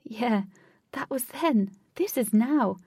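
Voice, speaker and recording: A woman's voice: a little high, expressive, slow pace, a little mellow, husky, no room sound, studio quality, voice pushed up, exaggerated voice. A woman voicing a frank, level-headed young woman with a casual manner, in a story. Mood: advising. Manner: casual, matter-of-fact.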